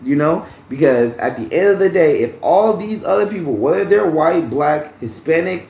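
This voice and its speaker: A man's voice: fairly high pitch, expressive, moderate pace, creaky, a little mellow, echoey room, rough recording. A man casually telling a story about his job, rambling and repeating himself, often asking "okay?" and swearing bluntly. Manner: blunt, uses profanity freely. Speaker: vlogger telling a work story.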